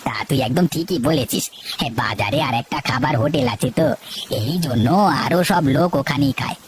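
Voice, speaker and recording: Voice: medium pitch, animated, a little fast, soft background music, natural voice. A voice actor playing a friendly, food-loving village man in a cartoon. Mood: sympathetic. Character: friendly male villager who loves food. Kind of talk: cartoon voice acting.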